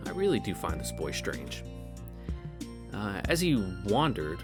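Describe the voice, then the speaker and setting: A low-voiced man reads game narration aloud, his delivery lively and varied. He breaks in with jokes and swears. Game music plays clearly underneath. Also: unhurried. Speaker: streamer reading a visual novel. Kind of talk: casual read-through.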